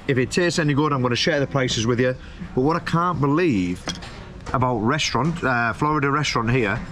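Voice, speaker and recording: A man in his thirties with a British accent, reviewing food casually to camera in a chatty, slangy, emphatic way. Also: fairly high pitch. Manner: chatty, slangy, emphatic, repeats phrases for effect. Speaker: food reviewer and vlog host. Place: open-air restaurant terrace by a road. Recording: outdoor street-side noise, passing vehicles.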